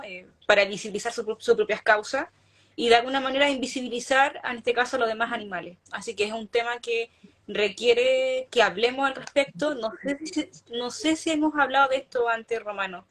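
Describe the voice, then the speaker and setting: A woman's voice: fairly high pitch, fairly bright tone. A woman, an animal rights activist, giving an earnest explanation of her views on an online video call. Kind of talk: casual online talk.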